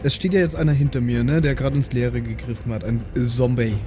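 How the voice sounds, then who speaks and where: A man's voice: medium pitch, fast, noisy background. A man playing a tabletop game, talking casually and informally in slang.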